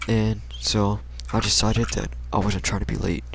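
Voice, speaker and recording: A young American man with a low-pitched voice full of vocal fry. He tells an everyday story casually, in slangy, halting fragments that ramble along. There is a faint layer of noise behind him. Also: little pitch movement. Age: young adult. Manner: rambling, slangy, hesitant delivery.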